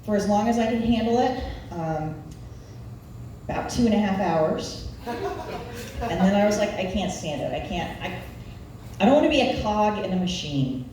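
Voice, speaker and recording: A woman's voice: medium pitch, expressive, moderate pace, pauses often, warm tone. Echoey noisy room, midwestern woman in her 40s doing standup, wry and self-deprecating, takes her time. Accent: Nebraska Midwestern American. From Nebraska, United States. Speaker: stand-up comedian. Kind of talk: live stand-up comedy routine. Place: live comedy venue. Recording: echoey room, distant mic, background noise.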